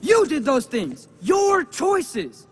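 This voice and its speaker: A man's voice: extremely high pitch, wildly swooping pitch, very slow, heavily acted. An actor playing an earnest, wounded young man in a dramatic video game, impassioned and pleading. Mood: accusing, pleading. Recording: loud music behind the voice. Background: dramatic game score.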